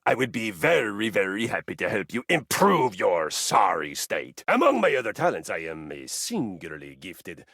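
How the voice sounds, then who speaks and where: A pompous warrior treadmill for a game, raspy, super dramatic pitch swings, boastful. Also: male voice, huge pitch swings, normal speed, hardly any pauses, a bit bright, no room sound, studio quality, full character voice. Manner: pompous, grandiloquent, bombastic. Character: boastful Norwegian treadmill, honor-bound violence-loving warrior. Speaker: video game character. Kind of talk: theatrical game character acting.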